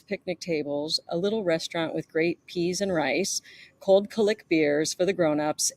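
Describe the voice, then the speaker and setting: A woman's voice: fairly low pitch, darkish tone. A middle-aged woman reading her own memoir aloud as its author, in a storytelling manner with the occasional stumble.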